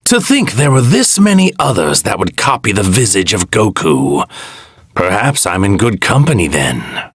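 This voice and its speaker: A man voicing an arrogant evil god in a stolen warrior's body, speaking formal, grandiose video game lines in a husky, gravelly voice. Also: fairly low pitch, very animated, normal speed, a bit bright, no room sound, studio quality, heavily acted. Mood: haughty contempt. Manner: pompous, grandiose, formal, archaic phrasing.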